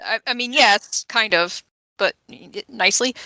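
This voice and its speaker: A woman's voice: fairly high pitch, unhurried, sharp tone. A woman chatting casually and informally as a player in a tabletop roleplaying game. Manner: informal, frequent "like".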